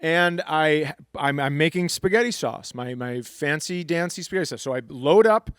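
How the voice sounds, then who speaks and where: A man's voice: on the high side, normal speed, smooth, dry recording, clean studio sound. A man co-hosting a live comedy podcast, telling a rambling, profane story. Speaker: comedian co-host.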